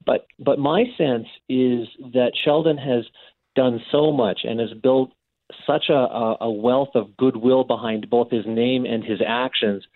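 Earnest Canadian man, halting delivery. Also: mid-range voice, fairly even intonation, no echo, narrow, tinny audio. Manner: earnest, halting, often repeats words for emphasis. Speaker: interview guest, abuse survivor and advocate. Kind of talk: earnest radio interview answers.

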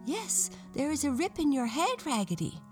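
Woman playing a high-voiced dainty lady doll. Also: very animated, moderate pace, music in the background. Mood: helpful. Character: dainty, fussing female French doll.

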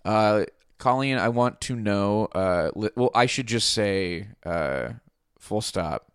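A man's voice: on the low side, animated, slow, clear, resonant tone, no room sound, studio quality. A man hosting a music podcast, rambling with many fillers and wry asides. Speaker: podcast host. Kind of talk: casual chat.

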